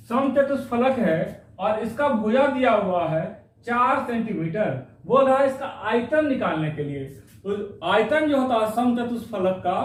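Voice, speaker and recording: A man's voice: high voice, far from the mic. A man teaching an exam-prep maths lesson, working through each problem step by step and repeating phrases as he goes. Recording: echoey room, voice off-mic.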